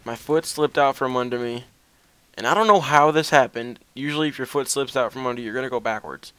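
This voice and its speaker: A man's voice: mid-range voice, a bit bright, smooth, dry recording. A young man telling a casual, rambling story as gaming commentary, with a hesitant, self-deprecating way of talking.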